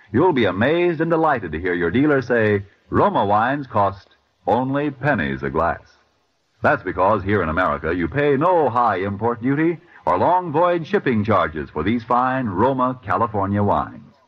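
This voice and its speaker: A man's voice: on the low side, slightly rough. A man announcing a scripted 1940s radio commercial in a polished, formal selling manner. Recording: muffled vintage 1940s radio recording. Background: music.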